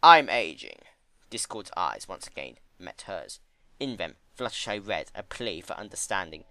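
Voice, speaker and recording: A man reading a story as a dramatic narrator, solemn and measured in his storytelling. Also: low-pitched, lively intonation, bright, forceful tone, dry recording. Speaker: narrator, fan-fiction reader.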